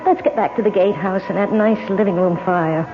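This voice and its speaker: An actress playing a sensitive, anxious young American bride in a radio drama. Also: female voice, medium pitch, a little fast, lightly acted. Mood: entranced, uneasy. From United States. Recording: tinny old radio broadcast, music behind.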